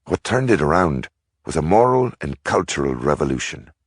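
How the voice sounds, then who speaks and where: A man's voice: a bass voice, sing-song, moderate pace, husky, no echo, clean studio sound, exaggerated voice. A man doing an old Irish priest lecturing. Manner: lecturing, earnest.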